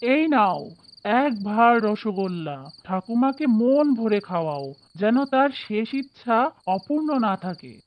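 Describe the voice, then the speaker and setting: A woman's voice: fairly high pitch, big pitch swings, a little slow, slight background noise. A woman voicing a solemn, kindly wandering holy man who speaks in a preaching way in a cartoon story. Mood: benevolent. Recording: dull lo-fi cartoon audio with music.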